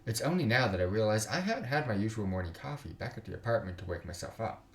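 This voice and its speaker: A man's voice: fairly low pitch, lively intonation, fast talker, roomy sound. A young man live streaming a game, reading story text aloud with some stumbles and slangy, joking asides. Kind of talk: casual livestream, reading visual novel text aloud with side comments. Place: indoors at a computer.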